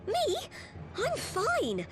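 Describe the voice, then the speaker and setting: Very high-pitched excitable little game heroine. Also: female voice, drawn-out words, full character voice. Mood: worried. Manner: exclamatory, flustered. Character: small, high-voiced, excitable and caring young woman. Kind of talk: video game cutscene acting.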